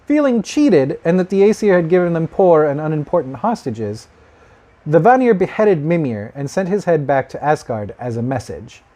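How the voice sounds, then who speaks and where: A man's voice: a little high, sing-song, normal speed, mellow. A man telling myths as a storyteller in an informal, conversational way, with wry asides.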